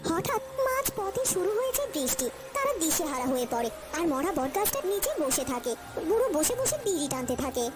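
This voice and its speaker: Very high-pitched woman narrating. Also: sing-song, brisk, few pauses. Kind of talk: scripted animated horror story narration.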